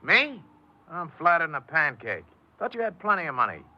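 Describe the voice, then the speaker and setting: An actor playing a slick small-time crook, wisecracking and needling with streetwise mockery, in 1950s radio drama style. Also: male voice, a little high, very animated, normal speed. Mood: mocking, probing. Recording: muffled 1950s radio recording.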